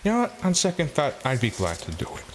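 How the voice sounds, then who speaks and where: A man playing a seasoned, gruff adventurer sidekick in an audio drama, with a dry, sardonic manner. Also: medium pitch, huge pitch swings, quick pace, background noise. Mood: reluctant.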